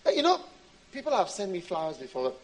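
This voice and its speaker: Male preacher with a higher-pitched voice. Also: wildly swooping pitch, fast talker, crowd noise. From Switzerland. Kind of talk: sermon with anecdotes and jokes. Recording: live audience sound behind the voice. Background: congregation.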